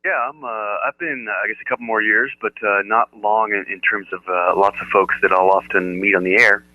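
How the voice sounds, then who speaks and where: An American man chatting casually as an amateur radio operator, with a halting delivery. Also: lowish voice, steady tone, sharp tone, slight background noise. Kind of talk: casual ham radio chat. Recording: narrow-band, telephone-like radio audio.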